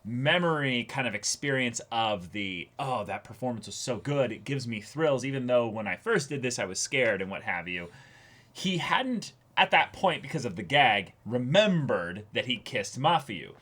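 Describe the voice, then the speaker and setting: Emphatic young man co-hosting, medium pitch. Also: lively intonation, moderate pace, a bit bright. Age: young adult. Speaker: co-host of anime reaction show. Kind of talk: casual episode discussion. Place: small indoor room, seated before a backdrop.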